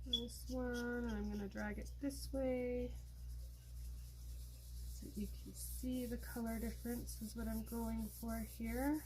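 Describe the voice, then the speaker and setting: A woman's voice: a little high, takes it slowly, noisy background. Chatty woman showing pastel blending. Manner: relaxed, chatty instructions while working. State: losing her voice. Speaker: art tutorial host. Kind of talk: casual step-by-step craft demonstration.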